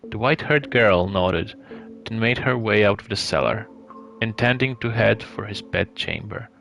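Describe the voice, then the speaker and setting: A man reading a story aloud live as narration, in a measured way with some hesitations and stumbles. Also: fairly low pitch, animated, a bit bright, low-quality recording. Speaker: streamer reading a visual novel aloud. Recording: clear background music behind the voice.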